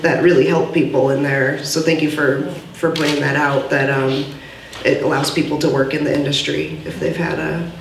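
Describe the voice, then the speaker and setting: A woman's voice: low-pitched, level tone, moderate pace. A woman moderating a panel discussion at a podium, speaking earnestly with many fillers and taking audience questions. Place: gallery event room, indoors. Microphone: podium mic from a far camera. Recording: roomy, distant, faint hiss.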